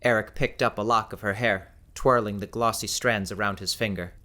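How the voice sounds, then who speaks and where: Guy reading a novel for an audiobook, lowish voice, measured storytelling style. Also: steady tone, bright, forceful tone. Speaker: audiobook narrator. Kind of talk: scripted fiction narration.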